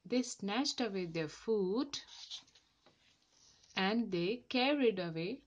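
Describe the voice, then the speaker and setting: A woman reading a children's story aloud in a careful, deliberate and expressive way. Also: mid-range voice, muffled recording. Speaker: storybook reader.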